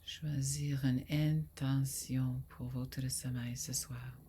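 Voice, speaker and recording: A woman guiding a sleep meditation in a soft, soothing voice that often drops to a breathy near whisper, with long pauses. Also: rumbling low, little pitch movement, slight background noise. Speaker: yoga nidra guide.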